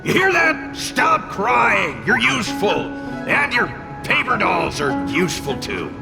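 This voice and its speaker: A cranky fire demon in a video game, with a highish, gravelly voice that swoops wildly. He is arrogant and blustering, scolding everyone over clearly audible music. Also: big pitch swings, slow, heavily acted. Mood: scornful, threatening. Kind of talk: video game cutscene acting.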